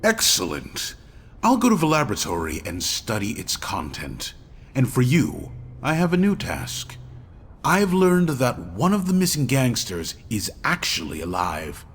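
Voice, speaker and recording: An actor playing an earnest, mysterious member of a secret order in a game cutscene, speaking in a measured, formal way. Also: male voice, mid-range voice, wildly swooping pitch, husky, soft background music, exaggerated voice. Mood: brisk, instructing. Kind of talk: video game cutscene acting.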